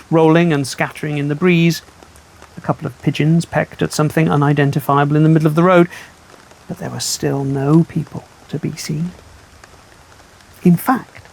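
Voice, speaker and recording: A storyteller reading a children's book aloud in an expressive, measured, wry way. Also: mid-range voice, gravelly, poor audio.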